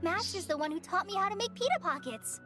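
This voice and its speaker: Earnest, high-voiced young ranger girl. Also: animated, fast. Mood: admiring. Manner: polite, eager, slightly flustered. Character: earnest, kindly young female forest ranger trainee, clumsy, polite. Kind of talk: video game anime-style voice acting. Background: game music.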